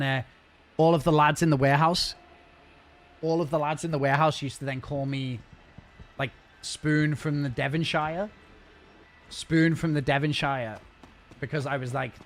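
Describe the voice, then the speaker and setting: Man, casual streamer chat, rambly, mid-pitched voice, faint room hum. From north of England. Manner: chatty, rambling, fast-talking. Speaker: game streamer. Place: home gaming setup.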